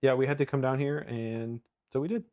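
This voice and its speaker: A man with an American accent talks in a casual, conversational way as a cooking channel host. His pitch is middling. He comes across as earnest and a little self-deprecating. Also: steady tone, brisk, darkish tone, dull audio. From rural Minnesota, United States. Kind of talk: casual chat answering viewer questions.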